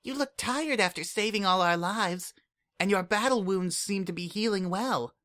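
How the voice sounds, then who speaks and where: Reader doing little changeling girl, high squeaky voice, super formal, talks about herself like "this one". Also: moderate pace, fairly bright tone, lightly acted. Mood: concerned. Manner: formal, speaks of herself in the third person. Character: small young female changeling child, earnest and eager.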